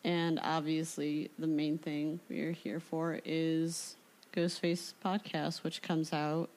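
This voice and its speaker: A woman with a notably low voice hosts an interview. Her delivery is informal and conversational as she talks with her guests. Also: little pitch movement, slow pace, a little mellow, poor audio. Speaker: interview host. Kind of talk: casual interview chat.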